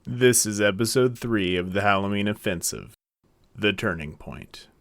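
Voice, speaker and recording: A man's voice: on the low side, moderate pace, close to an everyday voice. An actor playing an ominous male war-radio announcer, giving a portentous scripted episode introduction. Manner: portentous, dramatic.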